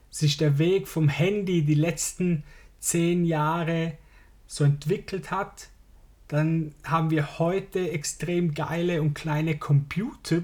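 A hoarse man with a Swiss German accent, hosting a solo podcast and chatting informally, straight to the listener. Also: on the high side, darkish tone. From Switzerland. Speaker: podcast host. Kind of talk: casual solo podcast monologue.

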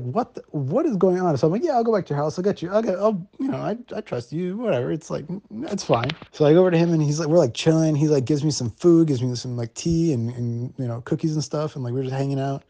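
A man's voice: on the high side, dark tone. A young man from Miami telling a casual anecdote, rambling with lots of "like" fillers. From Miami, United States.

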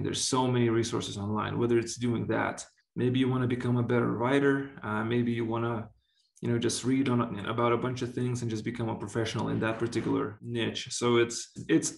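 A man's voice: mid-range voice, creaky. A man from Lithuania giving casual, earnest interview answers as a guest, with a conversational and emphatic way of talking. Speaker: interview guest, basketball executive. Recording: roomy, echoey sound.

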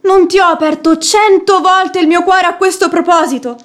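A lovelorn young daughter of a noble house in a radio stage comedy. Her voice is extremely high, timid and plaintive. The delivery is theatrical and full of feeling. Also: normal speed. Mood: wounded, ardent. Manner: plaintive, emotional.

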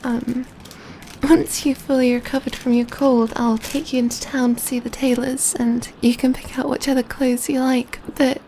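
Woman playing a high-voiced, doting, gentle vampire. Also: level tone, normal speed, a little hiss or hum, rough recording. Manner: tender, cooing, soothing, often dropping to whispers. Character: gentle doting female vampire pampering her catgirl. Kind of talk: ASMR roleplay, soft intimate speech.

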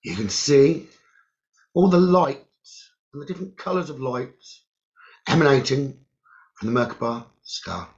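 A man leading a guided meditation in short, halting phrases with long pauses and a creaky voice. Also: mid-range voice, lively intonation. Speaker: guided meditation leader, psychic medium. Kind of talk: slow guided meditation narration. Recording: dull, lo-fi sound.

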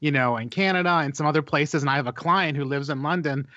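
A man's voice: a little high, fast talker, bright, forceful tone, highs cut off. Laughing, enthusiastic man. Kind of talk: casual chat.

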